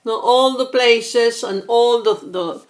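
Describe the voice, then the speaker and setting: A woman from Peru teaching an online English class, slow and patient, often checking in with "no?". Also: on the high side. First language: Spanish. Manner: slow and patient, keeps asking "no?".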